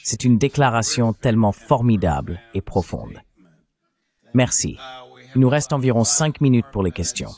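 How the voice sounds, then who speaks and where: A man's voice: medium pitch, very animated, moderate pace, mellow. A man moderating a panel discussion, heard as a translated voice-over.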